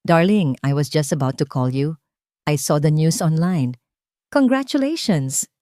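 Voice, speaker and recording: A woman's voice: low-pitched, animated, measured pace, warm tone, no room sound, clean studio sound. A woman with a Filipino accent voicing a sweet, gushing, image-obsessed rich fiancée in an audio drama story. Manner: honeyed, gushing.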